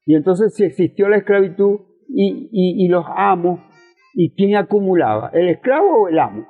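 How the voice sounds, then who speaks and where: A man's voice: sits high, lively intonation, moderate pace. An older man with a Venezuelan accent explaining political ideas in an interview, didactically, with rhetorical questions and repeated key phrases. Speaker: interviewed communist politician and professor. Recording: thin phone-like radio sound with music.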